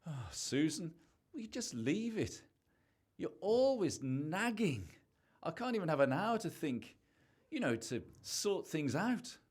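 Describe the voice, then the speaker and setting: A man's voice: fairly high pitch, big pitch swings, unhurried, husky, heavily acted. A man in an amateur radio play acting a defensive, sarcastic husband who is hiding something. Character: evasive, irritable husband hiding a secret.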